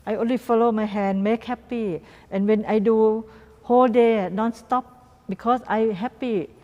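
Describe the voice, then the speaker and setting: A woman from Thailand with a Thai accent, a guest artist answering interview questions in halting, simple non-native English and repeating words. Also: fairly high pitch, fairly even intonation, muffled recording. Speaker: guest artist and doll maker. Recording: reverberant room.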